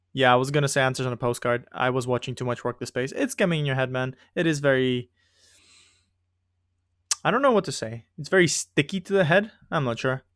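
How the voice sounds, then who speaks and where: A man's voice: medium pitch, normal speed, long pauses, fairly bright tone, dry recording, studio quality. A man whose first language is not English, chatting casually and rambling as he comments on a football management game he is playing. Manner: chatty, rambling, breaks off and restarts sentences. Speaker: gaming video creator.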